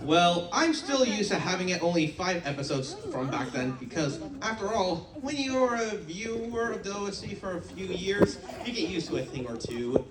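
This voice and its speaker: Hesitant male fan with a lively voice. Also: high-pitched, moderate pace, hardly any pauses, heavy background noise. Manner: hesitant, clearing throat. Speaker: audience member asking a question. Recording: roomy, distant, crowd behind.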